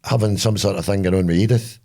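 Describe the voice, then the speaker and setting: Gravelly older Scottish man, deadpan. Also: low-pitched. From Scotland. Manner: dry, teasing, deadpan jokes with drawn-out "eh" fillers. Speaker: co-host. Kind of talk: casual comedic chat.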